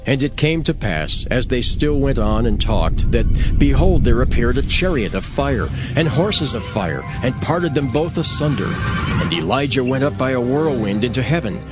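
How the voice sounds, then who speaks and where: A man's voice: mid-range voice, expressive, normal speed. A man giving solemn, dramatic scripted narration of scripture as a storyteller. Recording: music behind the voice. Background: prominent background music.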